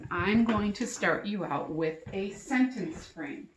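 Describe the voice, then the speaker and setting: A woman teacher reading a storybook aloud to young children, expressively, pausing to ask questions and explain things simply. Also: medium pitch, animated, noisy background. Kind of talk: interactive storybook read-aloud and lesson. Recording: echoey, roomy sound.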